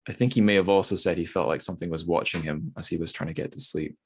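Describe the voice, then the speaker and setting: A man's voice: deep voice, fast talker, vocal fry, breathy edge. A man hosting a podcast, chatting casually about literature in a rambling, self-deprecating way. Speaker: podcast host.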